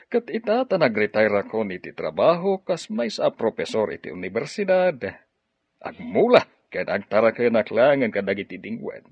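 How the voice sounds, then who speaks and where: A man playing a kindly older retired professor and bachelor uncle in a radio drama, with a gentle, expressive delivery. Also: on the low side, moderate pace, breathy edge, no room sound, full character voice. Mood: reflective. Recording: dull lo-fi audio, crowd murmur.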